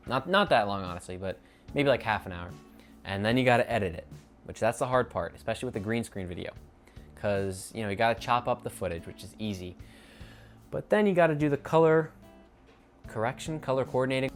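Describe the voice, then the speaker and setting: Young guy yapping at his camera off the dome, rambly, keeps correcting himself, faint music behind. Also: on the low side. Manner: rambling, self-correcting, off the cuff. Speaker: YouTube creator. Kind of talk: unscripted casual vlog talk.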